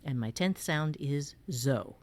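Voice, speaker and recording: A woman's voice: sits low, expressive, slow pace, no echo. A woman teaching writing in a conversational way, explaining step by step with emphasis as a co-host.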